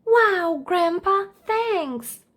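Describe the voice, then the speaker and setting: A woman's voice: very high-pitched, lively intonation, very slow, fairly bright tone, pitched above the performer's own voice, full character voice. A woman voicing an eager, curious young boy giraffe in a children's storybook, with hopeful exclamations. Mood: excited.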